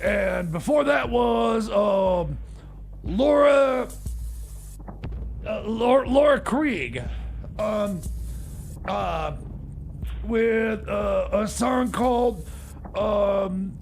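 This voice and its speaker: A man playing a flustered, grumpy, bumbling radio DJ who stammers and rambles haltingly through his music show. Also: high voice, animated, gravelly, slight background noise, heavily acted. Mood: flustered, bewildered. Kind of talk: comedic community radio DJ chatter.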